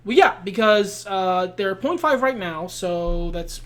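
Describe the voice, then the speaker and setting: A man's voice: high voice, expressive, takes it slowly, a bit bright. A man co-hosting a casual chat while playing a wrestling booking game, explaining plans step by step.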